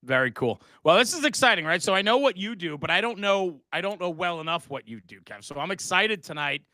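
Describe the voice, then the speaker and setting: A man's voice: high voice, very animated, brisk, sharp tone, dry recording, low-quality recording. A middle-aged man talking casually and passionately on a live stream, with an emphatic, buddy-like manner. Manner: earnest, passionate, emphatic, says "man" and "bro". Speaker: guest, fire service podcaster.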